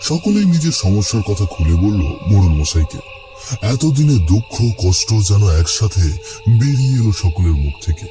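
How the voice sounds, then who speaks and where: A man reads scripted narration for a cartoon story. His voice sits low, and music plays clearly behind him. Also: sing-song, moderate pace.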